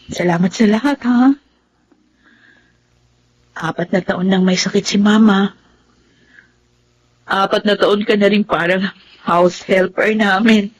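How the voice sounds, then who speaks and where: An actress playing a devoted, gentle young daughter in a Tagalog radio drama. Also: female voice, medium pitch, fairly even intonation, slow, long pauses, low-quality recording. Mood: grateful, warm.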